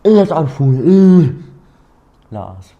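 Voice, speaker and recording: A man's voice: fairly high pitch, big pitch swings, brisk, muffled recording. A man, a husband on a family vlog who teases and playfully nags. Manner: teasing, playfully nags and urges. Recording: noisy home recording.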